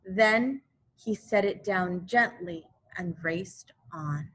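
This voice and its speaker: Slow-paced woman reading a storybook aloud. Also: lowish voice, breathy edge. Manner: expressive, deliberate, explains pictures to young listeners. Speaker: elementary school teacher. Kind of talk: children's storybook read-aloud with asides.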